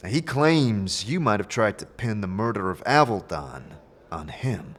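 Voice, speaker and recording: Man acting a firm, lowish-voiced adventurer interrogator. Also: animated, measured pace, fairly bright tone. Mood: probing. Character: firm, blunt male adventurer questioning suspects. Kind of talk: fantasy audio drama acting.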